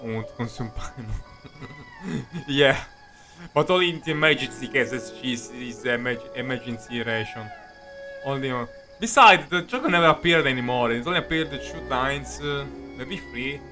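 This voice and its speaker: Casual male streamer: mid-pitched, stumbling, joking commentary over clearly audible game music. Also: huge pitch swings, sharp tone. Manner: halting, repeats words, jokey. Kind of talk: casual rambling gameplay commentary.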